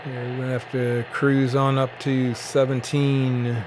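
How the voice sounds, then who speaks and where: A man speaks slowly and in a low-key way, with a medium-pitched voice. He thinks aloud haltingly, like a hobbyist casually showing his equipment. Radio noise sits behind his voice. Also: little pitch movement. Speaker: shortwave radio hobbyist presenting. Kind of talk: casual unscripted commentary while tuning and showing gear.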